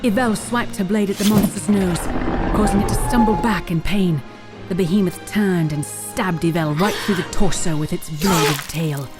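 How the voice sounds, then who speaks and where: A woman's voice: fairly low pitch, moderate pace, close to an everyday voice. A woman playing the storyteller of a fantasy audio drama, narrating a heroic tale in a grave, dramatic scripted style. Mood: grave, suspenseful. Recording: music bed under the voice. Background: prominent dramatic music.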